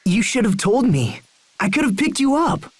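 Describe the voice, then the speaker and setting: A woman's voice: a little high, very animated, normal speed, a little mellow, husky, dry recording. A woman playing an earnest, impulsive young male knight in a video game, speaking directly and emotionally. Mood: surprised, delighted. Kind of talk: English video game voice acting.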